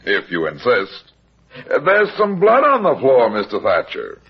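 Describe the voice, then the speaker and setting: An actor playing a wisecracking, unflappable heavyset private detective in a vintage radio drama, wry and sardonic. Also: male voice, medium pitch, wildly swooping pitch, unhurried, breathy edge, full character voice. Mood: wry, calm. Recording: muffled vintage radio recording.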